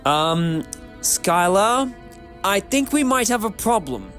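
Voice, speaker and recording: A man playing an eccentric, affectionate time-travelling adventurer with an excitable manner in a fan audio drama. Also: high-pitched, takes it slowly, soft background music, heavily acted. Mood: urgent, brisk. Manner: animated, exclamatory.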